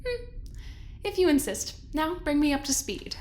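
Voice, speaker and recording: Woman doing fake-sweet ambitious boss lady, theatrical, high pitch, swoops around, stretches words, condescending. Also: big pitch swings, darkish tone, a little hiss or hum. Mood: mock-sweet, probing. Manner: mock-sweet, theatrical, drawn-out emphasis. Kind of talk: audio drama acting.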